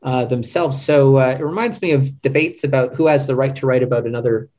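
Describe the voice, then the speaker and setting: A man's voice: mid-range voice, fairly quick, a little mellow. A man speaking as a podcast interview guest, casual and hesitant, groping for words and checking in with the listener. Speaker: podcast guest, literary translator. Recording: dull, band-limited lo-fi audio.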